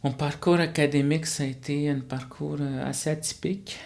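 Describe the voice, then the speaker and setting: Femme à la voix plate et grinçante. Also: female voice, low voice, steady tone, brisk, gravelly, a little mellow. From Quebec. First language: Atikamekw. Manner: reflective, frequent fillers and restarts. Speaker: guest, student life facilitator. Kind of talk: conversational podcast interview.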